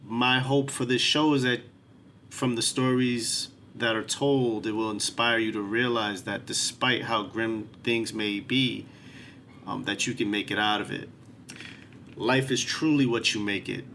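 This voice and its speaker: A man's voice: fairly low pitch, steady tone, a little slow, pushed and bright, slight background noise. A man, hosting his podcast and talking earnestly about his life and the show in a casual, reflective way. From New York City. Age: 40s. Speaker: podcast host. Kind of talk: solo podcast introduction.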